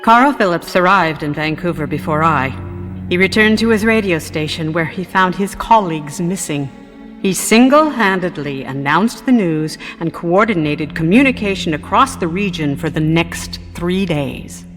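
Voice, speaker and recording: A woman's voice: on the low side, expressive, moderate pace, in a large hall, music in the background, lightly acted. A woman playing a formal, matter-of-fact narrator recounting events in a radio drama. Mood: sober, recounting.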